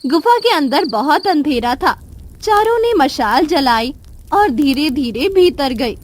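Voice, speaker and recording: A woman reads a children's tale as its narrator. Her voice is very high and moves a lot in pitch, delivered at a slow pace. It is scripted storytelling. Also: lively intonation. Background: music.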